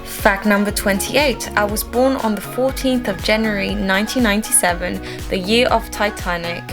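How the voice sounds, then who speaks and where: A young woman in her twenties, from France, talks through a list of facts about her life in an earnest, measured way, announcing each one by number. Her voice sits at a middle pitch for a woman. Clear background music plays under the voice-over. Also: steady tone. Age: 20s. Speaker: vlogger. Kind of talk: reading a list of personal facts.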